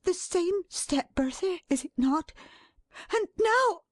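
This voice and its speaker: A woman voicing an excitable, affectionate, flustered young wife in a storybook reading, breathless and emphatic. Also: high voice, measured pace, dry recording, studio quality, pitched above the performer's own voice. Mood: breathless anticipation. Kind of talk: dramatised classic storybook reading.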